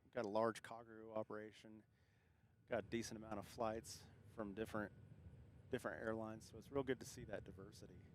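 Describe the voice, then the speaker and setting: A man's voice: on the low side, steady tone, a little fast. A man hosting a casual live stream, reading out viewer comments and thanking people effusively, often repeating himself. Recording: clear background noise, outdoor airport ambience.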